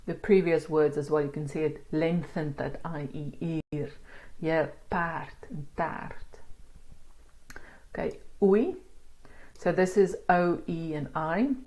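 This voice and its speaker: A woman with a South African accent, teaching pronunciation in a casual spoken lesson and sounding out words slowly. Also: deep voice, darkish tone. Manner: demonstrates sounds slowly, laughs at herself. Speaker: language teacher.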